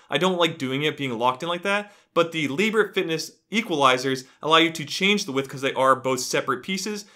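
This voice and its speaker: A young man giving an upbeat, steady review of home gym equipment to camera from a small home gym room. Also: fairly high pitch. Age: young adult. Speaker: fitness youtuber.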